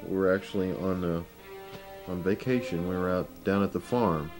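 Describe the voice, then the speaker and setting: Very deep-voiced man casually reminiscing. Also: moderate pace. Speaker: amateur filmmaker interviewed. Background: music.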